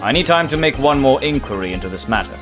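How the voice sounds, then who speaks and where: A man's voice: medium pitch, lively intonation, fast, close to an everyday voice. A man playing a haughty, composed prosecutor who speaks formally and smugly in a courtroom game fandub. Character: haughty, composed male prosecutor, coolly smug. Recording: music clearly behind the voice.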